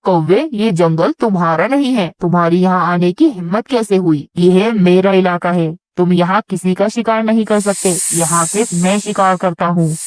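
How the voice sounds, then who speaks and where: A woman's voice: on the low side, normal speed, hardly any pauses, a little mellow, dry recording, pitched below the performer's own voice, natural voice. A woman voicing a strong, territorial male snake in a cartoon story, commanding and threatening. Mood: menacing. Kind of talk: animated children's bird story.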